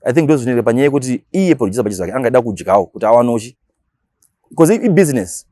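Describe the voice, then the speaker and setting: A man's voice: mid-range voice, very animated, racing, mellow, no echo, clean studio sound. A man with a Zimbabwean accent, talking casually as a radio DJ being interviewed, mixing languages and repeating words for emphasis.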